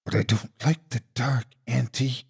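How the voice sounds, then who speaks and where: A man's voice: medium pitch, steady tone, measured pace, gravelly, darkish tone, slightly rough, voice pushed up, heavily acted. A man voicing a greedy, fearless little boy who talks in a bold, childish, insistent way. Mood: pleading.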